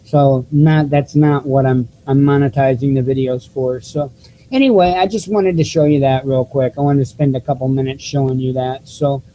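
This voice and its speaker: A man with a squeaky voice hosting his own YouTube news channel, talking casually to viewers through a cheap desktop microphone with many restarts and fillers. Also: steady tone, normal speed, warm tone, slight background noise, low-quality recording. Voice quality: squeaky voice, self-described. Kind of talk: casual vlog talk to viewers.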